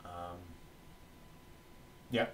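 A man's voice: extremely slow, a bit bright, a little hiss or hum. A man co-hosting a casual news chat, talking in hesitant, stop-and-start phrases. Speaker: co-host of an anime news show. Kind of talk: casual chatty news rundown.

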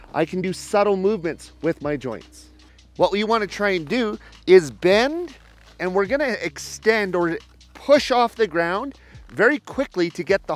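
A man giving step-by-step ski instruction outdoors, explaining a demonstration to camera. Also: high-pitched. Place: outdoors on an open snowy mountain ski slope.

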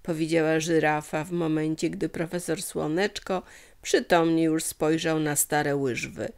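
A woman's voice: low-pitched, fairly even intonation, unhurried, gravelly, a little mellow, smooth. A woman storyteller narrating a scripted children's tale.

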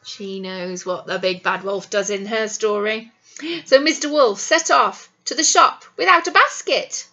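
A woman's voice: medium pitch, unhurried, sharp tone, highs cut off. A middle-aged woman, a teacher reading a picture book expressively to young children and coaxing them with questions. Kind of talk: animated storytime read-aloud for young children. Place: small classroom, indoors.

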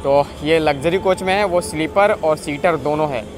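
A man's voice: on the high side, normal speed, music in the background. A man narrating a travel vlog from a bus and bus stops, chatty and full of fillers as he points things out to viewers. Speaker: travel vlogger. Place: on and around a long-distance bus in city streets.

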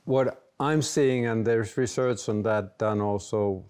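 A man's voice: fairly low pitch, takes it slowly, a little mellow, clean tone. A man in his fifties with a Finnish accent, speaking hesitantly as a guest in a panel discussion, with many fillers and restarts. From Finland. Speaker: panel guest, education leader. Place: indoor library room with bookshelves.

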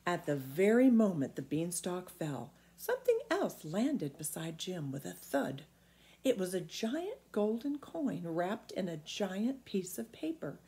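Animated woman reading a children's story. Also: lowish voice, a little slow. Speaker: storybook reader. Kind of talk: expressive read-aloud of a children's story. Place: small indoor room at home.

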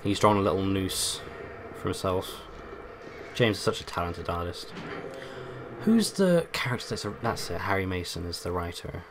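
A young man with a bassy voice, hosting a let's play with casual, joking commentary and humming. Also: animated, moderate pace, background noise. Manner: joking, hums and sings to himself, loud bursts.

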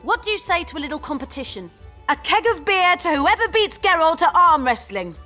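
A woman's voice: very high-pitched, sing-song, a little fast, pushed and bright, faint music behind, full character voice. An actress playing a cocky, teasing woman soldier in a video game, playful and mocking. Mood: playful, announcing.

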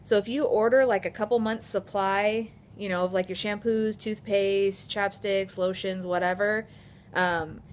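A woman's voice: mid-range voice, steady tone, a little slow. A woman in her thirties with an American accent, chatting casually and rambling a little as she vlogs. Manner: informal, conversational, rambling. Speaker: vlogger. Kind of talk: casual vlog chat. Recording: clearly noisy phone video sound.

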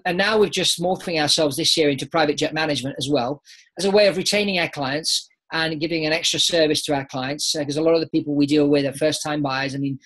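A man's voice: fairly high pitch, fairly bright tone. A British man in his forties, a business founder, answering interview questions over a video call from a home office. He talks with his hands and often repeats words as he restarts. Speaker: guest, aviation business founder. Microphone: laptop webcam on a video call.

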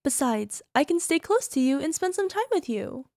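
A woman's voice: high-pitched, very animated, normal speed, dark tone, no echo, studio quality. A woman playing a doting, possessive young yandere wife in an ASMR roleplay, cooing and coaxing the listener with a controlling edge. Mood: doting, teasing.

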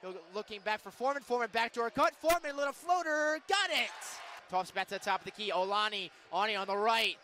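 High-pitched, excitable basketball play-by-play man. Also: fast. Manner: excitable, punchy calls. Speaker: radio play-by-play announcer. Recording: arena crowd and court noise behind. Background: basketball game in an arena.

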